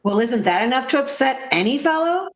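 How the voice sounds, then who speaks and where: Actress as animated, protective woman friend, echoing room. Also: sits high, fairly quick. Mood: reassuring, practical. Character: Alice, sensible, protective woman friend, practical and consoling. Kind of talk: 1940s-style radio theater acting.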